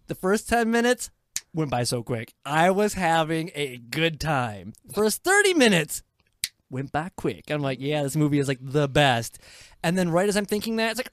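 Animated, lowish-voiced woman chatting. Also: moderate pace, no echo, studio quality. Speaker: film review podcast host. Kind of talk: casual group chat.

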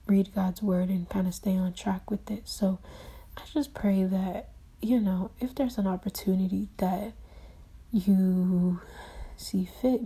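Lady whispering her prayers in a vlog, soft and breathy, lots of ums, earnest. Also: mid-range voice. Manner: soft, hushed, half-whispered talk; earnest, rambling, with many fillers. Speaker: video diarist. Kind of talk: personal diary and spoken prayer.

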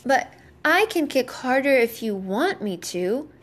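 A woman puts on a little boy from a children's storybook. The voice is high and childlike, polite on the surface and stubborn underneath, with simple emphatic statements. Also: sing-song, unhurried, fairly bright tone, full character voice.

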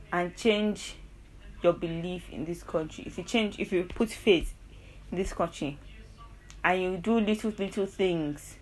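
Earnest Nigerian young woman, lower voice. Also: a little hiss or hum, low-quality recording. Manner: rambling, self-correcting, earnest and persuasive. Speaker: podcast host. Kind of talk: casual solo monologue.

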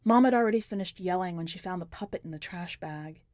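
A woman's voice: lowish voice, quick pace, darkish tone, no echo, clean studio sound. A woman reading a short story aloud as scripted, dramatic narration.

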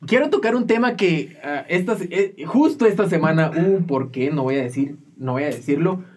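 A man's voice: a little high, expressive, normal speed, darkish tone. A man in his twenties with a Guatemalan accent, chatting casually and jokily as a podcast host. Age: 20s. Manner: informal, jokey. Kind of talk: casual chat.

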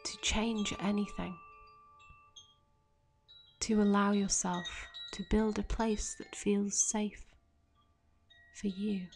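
Slow, hushed woman guiding meditation. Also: mid-range voice, flat delivery, vocal fry. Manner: soothing, gentle, measured with long pauses. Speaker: meditation guide. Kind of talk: guided meditation. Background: soft meditation music with chimes.

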